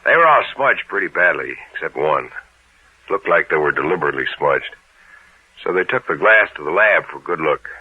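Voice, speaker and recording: An American man playing a gruff, plain-spoken police sergeant in an old-time radio drama, talking in a casual, folksy, matter-of-fact way. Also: a bass voice, lively intonation, moderate pace, dry recording, full character voice. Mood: explaining. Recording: narrow, tinny old radio recording.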